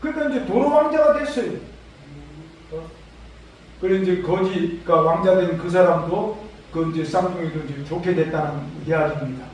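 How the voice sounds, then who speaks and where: A man's voice: sits high, moderate pace, a little mellow, slight background noise. A man preaching a Sunday sermon as a pastor, exhorting with emphasis. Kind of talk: Sunday sermon with scripture readings. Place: large church sanctuary during a service. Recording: echoey church hall, far from mic.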